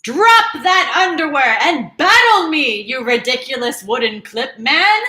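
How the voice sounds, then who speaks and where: A woman voicing a boastful, combative warrior rock from a picture book with loud, theatrical mock-heroic taunts. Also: high-pitched, expressive, a little slow, echoey room, voice pushed up, heavily acted. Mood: defiant challenge.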